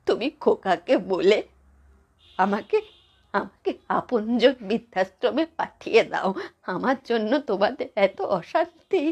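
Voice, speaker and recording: A woman playing a meek, sorrowful elderly mother-in-law in a family skit, pleading in a halting, faltering way. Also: a little high, husky, heavily acted. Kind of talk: solo voice-modulated Bengali family skit.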